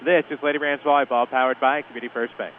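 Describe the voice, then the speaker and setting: A man's voice: mid-range voice, rapid-fire, music in the background. A man working as a play-by-play announcer, giving live pregame commentary on local radio from a school arena over a phone-like line. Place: indoor school sports arena. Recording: phone-like line, steady venue noise.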